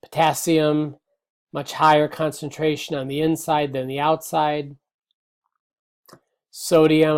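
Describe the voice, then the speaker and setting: A middle-aged male biology teacher giving a recorded slide lecture, slightly hoarse, relaxed and explanatory with many fillers and restarts. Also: on the high side. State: slightly hoarse, clearing throat.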